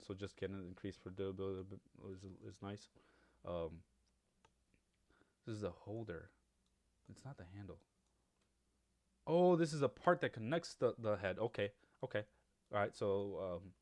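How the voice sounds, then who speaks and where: A fairly low-voiced man whose first language is Spanish, chatting loosely through gameplay commentary. He rambles, circles back, and fills gaps with ums and you-knows as he weighs options out loud. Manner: rambling, many fillers and restarts. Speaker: gaming YouTuber. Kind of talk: casual game commentary.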